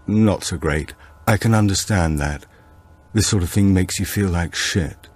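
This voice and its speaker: A man's voice: low voice, measured pace, slightly rough. A man reading an audiobook, voicing a brusque, difficult older woman who talks in a curt, dismissive way.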